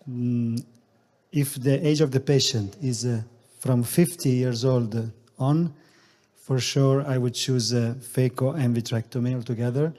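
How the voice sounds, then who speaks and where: A male eye surgeon from Italy on a conference panel, speaking haltingly with non-native phrasing and many fillers. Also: mid-range voice, mellow, big-room sound.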